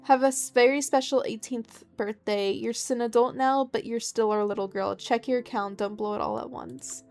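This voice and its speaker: A woman does casual let's play commentary. Her voice sits a little high. She talks informally and chattily as she reads game text aloud. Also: fairly even intonation, moderate pace, hardly any pauses, a little mellow. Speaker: gaming video host.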